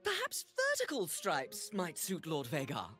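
A woman's voice: sits low, wildly swooping pitch, moderate pace, over music. A woman voicing a flamboyant, fussy fashion tailor in a video game, gushing and camp.

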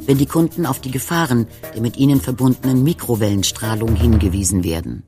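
An actor playing a measured, formal male radio presenter reading a scripted announcement. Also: medium pitch, music in the background, lightly acted. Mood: formal, informative.

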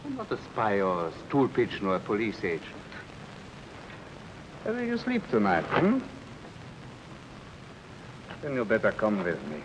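A middle-aged refugee drifter with a sly streak, talking in a wheedling, teasing way with chuckles, his lowish voice swooping up and down. The sound is old TV film audio with a faint hiss of rain behind him. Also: wildly swooping pitch, a little slow, long pauses. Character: sly cheerful male refugee con man. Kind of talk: 1950s TV drama acting.

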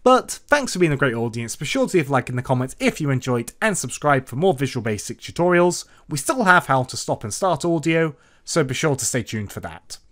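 A man presenting a software tutorial, explaining things step by step in an instructional narration. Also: medium pitch, sing-song, fairly quick, hardly any pauses. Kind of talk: scripted-sounding screen-recorded programming tutorial narration. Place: voice-over for a screen recording.

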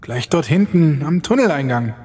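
A man's voice: a little high, expressive, normal speed, warm tone, close to an everyday voice. An actor playing an uneasy, wisecracking young man in a horror audio drama, talking hesitantly.

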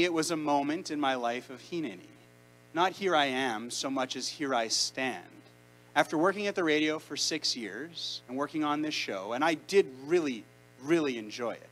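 A man's voice: a little high, a bit bright, low-quality recording. A man around thirty from Canada, a rabbi preaching a conversational sermon into a handheld mic in a large sanctuary. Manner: conversational, emphatic preaching with deliberate pauses. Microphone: handheld mic, far camera.

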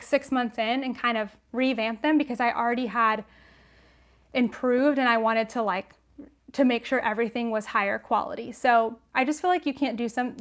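A young woman hosting a casual livestream Q&A, chatty and encouraging, with a sniffly nose, on a lapel mic in a small room at home. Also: a little high. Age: young adult. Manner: chatty, encouraging, many ums and likes. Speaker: knitting channel host.

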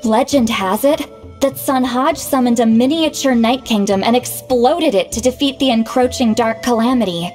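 A woman's voice: a little high, moderate pace, darkish tone, faint music behind, natural voice. Woman voicing a proud young shaman, reverberant. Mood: grave, explaining. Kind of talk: scripted video game dialogue.

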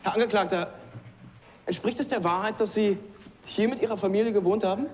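A man acts a young prosecutor in a period courtroom drama, high-pitched and formally accusing. His determined words ring out through a long, noisy hall echo. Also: brisk, close to an everyday voice. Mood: stern, accusing. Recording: echoey courtroom film sound with noise.

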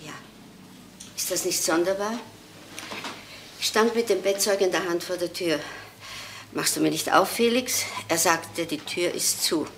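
A wistful older woman in a crime drama, with a fairly low voice. She speaks politely and haltingly, with quiet resignation, over a slightly noisy old soundtrack. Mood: hurt, resigned. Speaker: actress in a crime radio drama. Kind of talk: German crime drama acting.

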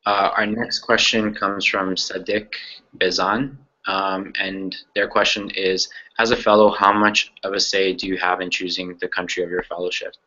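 A young man hosts an online information session from a small room, explaining details with many "um"s and restarts. His voice sits very low and stays level in pitch, with a bright edge. The video call audio sounds a bit dull. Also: flat delivery, moderate pace. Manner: explanatory with frequent fillers and self-corrections. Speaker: program moderator. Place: small room with framed pictures.

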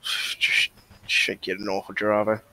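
A mouthy British suspect in a police roleplay game , voiced by a man. His voice is bright and forward, and he talks in blunt, mocking slang, needling whoever has him in custody. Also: fairly low pitch, completely flat delivery, measured pace, exaggerated voice, low-quality recording. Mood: cheeky, mocking. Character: cheeky, mouthy young male suspect under arrest. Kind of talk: casual online police roleplay improvisation.

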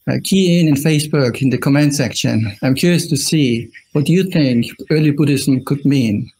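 A man's voice: medium pitch, moderate pace, warm tone, husky, poor audio. A middle-aged man with an Austrian accent, a monk giving a friendly dharma talk over a video call, joking and asking his online audience questions. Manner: jokey, chuckling asides, talks to the online audience. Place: small indoor room.

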